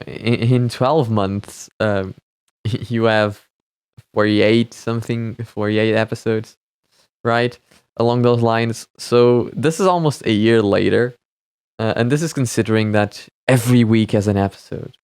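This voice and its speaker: Male reaction host, low and smooth, close dry sound, loose hesitant analysis with repeated words. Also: fairly even intonation, unhurried, darkish tone, no echo. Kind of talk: casual off-the-cuff commentary.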